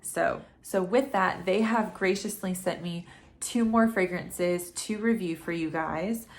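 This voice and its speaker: A woman in her thirties, getting over a head cold with a dry throat, talking quietly and chattily at home at night as a fragrance reviewer. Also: on the low side, measured pace. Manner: chatty, rambling, with frequent ums. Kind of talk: casual vlog talk to camera.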